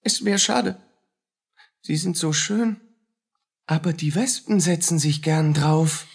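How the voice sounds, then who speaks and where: An actor playing a poor soldier in a radio play, feverish and jealous, speaking in halting, brooding fragments. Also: male voice, a little high, creaky, slightly rough, full character voice. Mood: jealous, probing. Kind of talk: German radio play acting.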